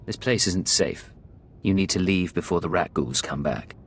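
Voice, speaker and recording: An actor playing a cool, cynical secret agent in a video game, speaking in a controlled, laconic deadpan. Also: male voice, low voice, normal speed, breathy edge. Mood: controlled, businesslike.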